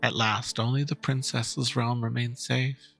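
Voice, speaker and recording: Man playing patient storyteller, raspy and creaky, theatrical fairy-tale telling, coaxing, music behind. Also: medium pitch, little pitch movement, a little slow, fairly bright tone. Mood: storytelling. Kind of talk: dramatic reading of a game story.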